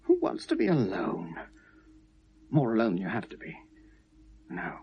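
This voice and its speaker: An actor playing a tormented, obsessive lovelorn British man confessing to police, halting and bitter, shifting from hushed to anguished in a 1970s radio drama. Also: on the low side, wildly swooping pitch, gravelly, slightly rough, dull audio, heavily acted. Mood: brooding, confessional. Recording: noisy vintage radio play recording.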